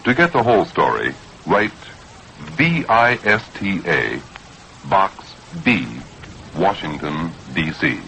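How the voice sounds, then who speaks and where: American guy reading an old 60s radio psa, low and forceful, short emphatic phrases, bit of noise on it. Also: sing-song, takes it slowly. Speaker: public service announcement narrator. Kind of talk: 1960s scripted radio spot narration. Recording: faintly noisy 1960s radio recording.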